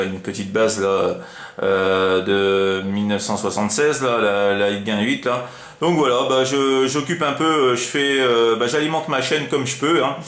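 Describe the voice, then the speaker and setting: A man's voice: lowish voice, fairly bright tone. A man chatting casually as an amateur CB radio operator, rambling informally with frequent tag words. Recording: roomy, echoing room sound.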